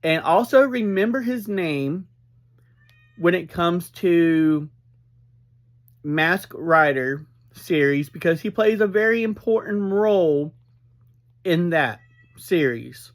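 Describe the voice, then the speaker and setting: A man giving an informal, unscripted recap as a reviewer, speaking in halting, deliberate phrases with frequent breaks. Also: sits high, lively intonation. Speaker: reviewer and host of an episode review series.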